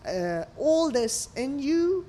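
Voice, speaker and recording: Expressive woman telling stories. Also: high voice, slow pace, a little hiss or hum. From Lebanon. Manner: expressive storyteller with non-native English phrasing. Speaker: restaurant owner, interview guest. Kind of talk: conversational interview over a meal. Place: restaurant.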